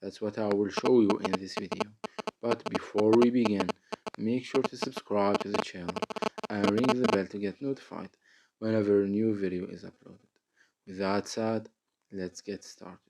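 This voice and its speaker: A man narrating a step-by-step phone app tutorial as its host, in a halting, deliberate way. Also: on the low side, animated, creaky, heavy background noise.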